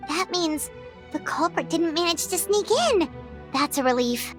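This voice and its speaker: A woman voicing an excitable, childlike fairy companion in a video game, squeaky and expressive. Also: a little slow, soft background music. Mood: earnest. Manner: expressive, exclamatory, refers to self in third person. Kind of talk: video game character acting.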